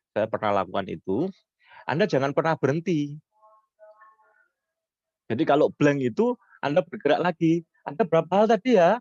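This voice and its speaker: A hoarse man, lecturing on public speaking and answering questions, lifting his voice high when he stresses points. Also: dry recording. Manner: rising pitch when stressing points, mixes Javanese words. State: hoarse from illness. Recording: dull lo-fi sound.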